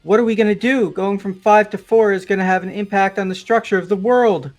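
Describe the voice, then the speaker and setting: A man voicing a spacey, gravely philosophical young man in an amateur visual novel game dub. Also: sits high, fairly even intonation, fairly quick, faint music behind, lightly acted. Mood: gravely worried.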